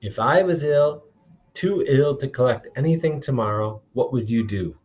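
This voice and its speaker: A firm young street boy who leads his gang as a man reads him out from a storybook. He is bossy but fair, with his pitch lifted and plain-spoken words. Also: animated, normal speed, darkish tone, close to an everyday voice. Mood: earnest.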